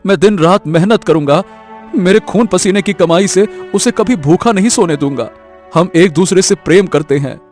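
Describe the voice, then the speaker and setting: Man playing an earnest, lightly high-voiced young villager. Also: normal speed, a little mellow, faint music behind, close to an everyday voice. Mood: nervous, earnest. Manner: hesitant, stammering, pleading. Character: poor, earnest, anxious young woodcutter in love. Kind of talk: animated story voice acting.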